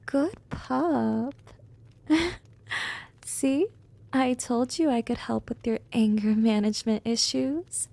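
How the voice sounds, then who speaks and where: A woman acts as a seductive vampire hypnotist in an ASMR roleplay. Her voice is fairly high yet mellow and soft, with frequent whispers. She speaks very unhurriedly, teasing and coaxing with gentle, smug control. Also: takes it slowly, full character voice. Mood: gloating, playful. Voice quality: soft, breathy, often dropping into whispers. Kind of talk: ASMR roleplay, intimate monologue.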